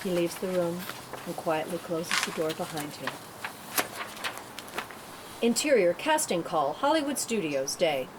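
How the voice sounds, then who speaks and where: A woman's voice: lowish voice, animated, a little slow, pauses often. A woman reading scripted stage directions as narrator at a table read, in a noisy room.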